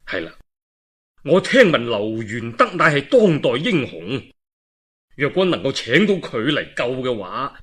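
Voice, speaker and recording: A man with a Cantonese accent voicing a mild, cautious, gentlemanly governor in a historical story, with a measured manner. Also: medium pitch, husky, heavily acted. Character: mild, cautious male governor besieged by enemies.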